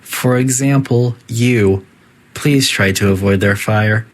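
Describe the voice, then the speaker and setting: A synthetic voice playing a politely detached, quietly menacing artificial intelligence that makes formal, deadpan announcements in a video game test facility. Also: medium pitch, takes it slowly, close to an everyday voice. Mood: clinically polite. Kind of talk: scripted game announcer narration.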